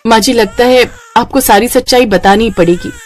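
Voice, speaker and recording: A woman's voice: on the high side, moderate pace, natural voice. A woman voicing a young married daughter-in-law, distressed and pleading, in a cartoon moral story. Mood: reluctant.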